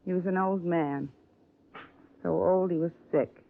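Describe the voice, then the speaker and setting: A woman's voice: lowish voice, unhurried, creaky. An actress playing a middle-aged woman suspect who denies everything and then confesses to murder, in a 1950s radio drama. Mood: confessing. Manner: defensive, denying, then breaking into flat confession. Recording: narrow phone-like old radio transcription.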